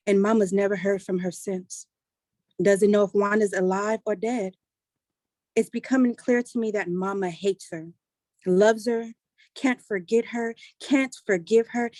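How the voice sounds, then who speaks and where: A woman's voice: mid-range voice, darkish tone, dry recording, natural voice. Woman acting an earnest, caring sister, dry close sound. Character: caring, perceptive sister confiding about her grieving mother. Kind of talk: staged reading of a play.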